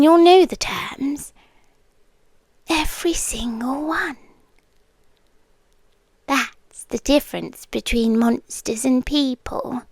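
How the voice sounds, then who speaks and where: Slow, breathy British immortal seductress, played by a woman. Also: a little high, dry recording, full character voice. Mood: teasing, knowing. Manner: hushed, teasing, slow and deliberate, often dropping to whispers. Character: ancient immortal female monster, patient, possessive, seductive. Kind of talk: ASMR roleplay. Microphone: close microphone.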